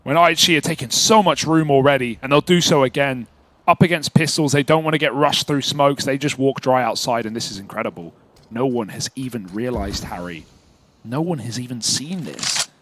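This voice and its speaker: A man giving live esports play-by-play and analysis in an animated, emphatic way. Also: mid-range voice, brisk. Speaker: esports commentator.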